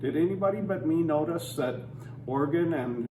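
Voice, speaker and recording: A man's voice: fairly high pitch, normal speed. A man delivering a scripted campaign speech, preachy and emphatic with religious conviction. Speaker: anti-legalization campaigner. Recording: roomy and distant with faint noise.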